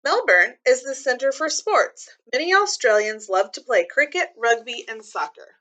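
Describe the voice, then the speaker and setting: A woman with a fairly high, bright voice reads a children's book aloud in the style of a teacher. She explains things in simple, clear terms for young listeners, moving between reading and commenting. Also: lively intonation, moderate pace.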